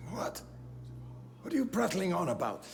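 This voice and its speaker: A man's voice: high-pitched, wildly swooping pitch, moderate pace, creaky, full character voice. An actor playing a callous, entitled rich old man with a haughty, demanding manner in a video game. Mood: indignant. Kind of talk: dramatic video game acting.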